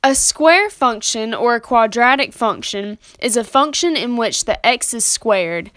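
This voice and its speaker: Young woman, high-voiced, dry recording. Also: animated, measured pace, a bit bright, no echo. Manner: plain, methodical explaining. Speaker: student presenter. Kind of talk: scripted lesson narration.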